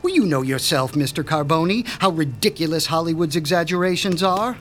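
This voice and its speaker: An actor playing a proud, formal mad-scientist doctor in a radio drama, speaking with precise, pompous diction. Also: male voice, a little high, a little fast, fairly bright tone, faint music behind, close to an everyday voice. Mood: measured. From Switzerland. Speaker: mob doctor.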